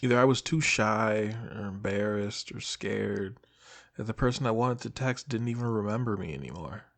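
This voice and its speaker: A man acting as a shy, nostalgic old high school best friend, talking casually and hesitantly to the listener in an intimate audio roleplay. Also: moderate pace, creaky. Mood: wistful, hesitant. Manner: hesitant, casual, soft-hearted, trailing off.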